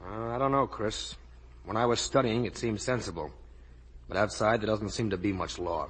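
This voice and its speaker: An actor with an American accent playing an embittered, accusing young lawyer back from war in a 1950 radio drama. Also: male voice, lowish voice, moderate pace, fairly bright tone, slightly rough. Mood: grave, weary.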